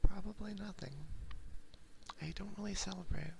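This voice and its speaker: A woman streamer speaking in soft, intimate whispered ASMR, chatting casually and dotingly to her viewers close into a binaural microphone. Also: mid-range voice, normal speed, noisy background. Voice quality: breathy whisper with heavy vocal fry. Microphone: close binaural ear microphone.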